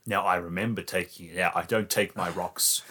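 A man's voice: deep voice, fairly even intonation, normal speed, sharp tone, husky, voice pushed down, heavily acted. A man improvising a rambling, oblivious hiker who over-explains every procedure in an audio roleplay. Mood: confused, defensive.

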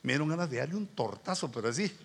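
Husky, animated Guatemalan male preacher. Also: a little high, racing. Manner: informal, colloquial storytelling with acted-out dialogue. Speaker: pastor, preacher. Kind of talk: conversational sermon with anecdotes.

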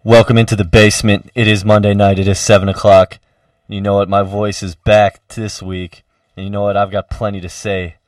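Brief: young male sports radio host, energetic and loud, full of fillers, very dry studio sound. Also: on the low side, normal speed, no room sound. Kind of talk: casual college radio sports talk.

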